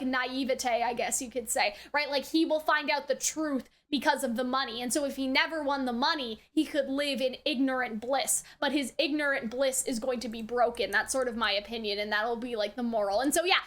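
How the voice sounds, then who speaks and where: A very high-voiced woman gives unscripted, casual commentary as a reaction host. She reasons aloud in a rambling, conversational way, often tagging her points with little check-in questions. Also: fairly bright tone. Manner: rambling, theorising aloud, frequently checks with "right?" and "you know".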